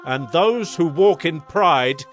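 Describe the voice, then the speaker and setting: A man's voice: fairly high pitch, lively intonation, slow pace, faint music behind. An actor playing a proud Babylonian king in a dramatized scripture reading, with a stately, declamatory delivery. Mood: reverent, exultant.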